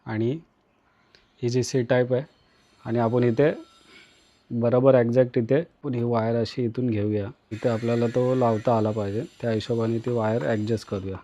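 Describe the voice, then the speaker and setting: A man's voice: mid-range voice, little pitch movement, moderate pace, dark tone. Dull-sounding Maharashtrian Indian man, casual tutorial. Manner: instructional, repeats short phrases, addresses viewers as friends. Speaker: vlogger, tutorial host. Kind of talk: casual hands-on tutorial narration.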